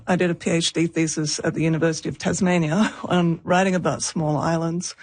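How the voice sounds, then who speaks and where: A woman's voice: on the low side, darkish tone. A woman who is a professor and press editor, talking chattily in an interview, often laughing and hedging her points. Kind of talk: casual interview talk.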